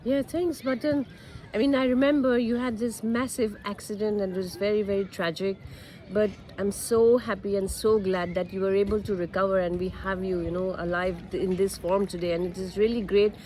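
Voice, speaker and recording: A woman's voice: medium pitch, fairly even intonation, brisk, a little mellow, rough recording. Woman host over noticeable background noise. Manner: long, encouraging reflective turns. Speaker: podcast host. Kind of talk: podcast interview.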